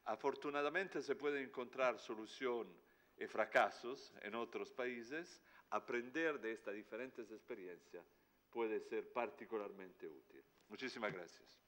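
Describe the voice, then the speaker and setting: A man in his sixties closing a formal conference talk, halting with filler sounds, seated at a table microphone in a large hall. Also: medium pitch, fairly bright tone. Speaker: conference speaker.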